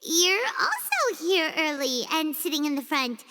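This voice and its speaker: Brief: tiny excitable female sidekick, shrill and lively, game cutscene with long reverb. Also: measured pace, noisy background. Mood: sheepish. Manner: bubbly, talks of herself in third person, stammers. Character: tiny chatty female floating companion, excitable. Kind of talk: video game cutscene dub.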